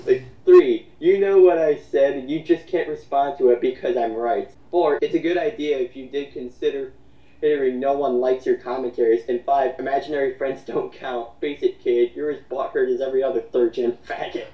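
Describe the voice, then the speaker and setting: A man speaks from some distance in a roomy, slightly dull recording. He sounds derisive and sweary as he gives halting, casual commentary and reads out comments. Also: mid-range voice, steady tone.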